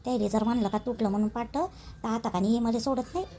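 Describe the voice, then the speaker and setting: A woman voicing a chatty village housewife in a Marathi cartoon, speaking colloquial Vidarbha Marathi with expressive, drawn-out phrasing. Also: a little high, slight background noise, close to an everyday voice. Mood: worried. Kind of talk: cartoon dialogue in rural gossip.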